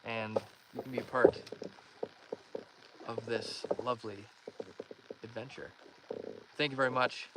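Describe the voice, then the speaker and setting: A nineteen-year-old man from Northern Ontario with a Canadian accent, chatting in a relaxed, friendly way and reading viewer comments aloud on a live stream. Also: a little high. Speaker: live-streaming fishing host. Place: outdoors on a lake in a canoe. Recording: outdoor stream with clear background noise. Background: water and boat noises.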